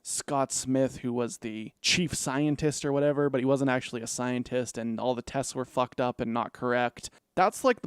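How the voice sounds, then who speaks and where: A man's voice: medium pitch, clean tone, dry recording, studio quality. A man hosting a casual film review, rambling and profane, with lots of "like" fillers. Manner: rambling, profane, laid-back with frequent "like" fillers.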